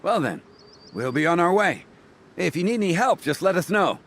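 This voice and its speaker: A man playing a resigned, kindly older townsman in an anime game, with a husky voice. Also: high-pitched, big pitch swings, moderate pace, fairly bright tone, full character voice.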